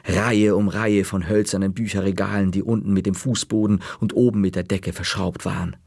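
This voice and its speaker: A man narrating a children's story in a measured, dry-humored way. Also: low-pitched, level tone, vocal fry, a little mellow, no echo.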